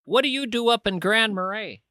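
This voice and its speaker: Older minnesota radio guy, high bright voice, teasing callers, dry close sound. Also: brisk, no room sound. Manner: playful, laughs often, teasing. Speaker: radio talk show host. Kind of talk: casual call-in chat.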